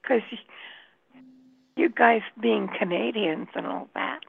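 A woman chatting casually in a group, with a husky, crackly voice. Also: a little high, slow, no room sound. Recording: tinny phone-like line.

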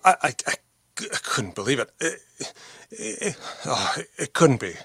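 An actor playing a gravely wounded pilot in an old-time radio drama, stammering and gasping, weak and anguished. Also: male voice, mid-range voice, very animated, moderate pace, fairly bright tone, heavily acted. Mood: weak, straining.

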